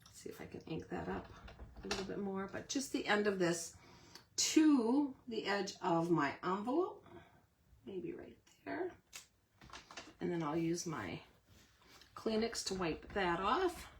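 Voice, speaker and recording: A woman with a slightly hoarse voice who keeps clearing her throat, giving a chatty step-by-step live card-making demonstration. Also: mid-range voice, big pitch swings, measured pace, long pauses, slight background noise. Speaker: craft demonstrator.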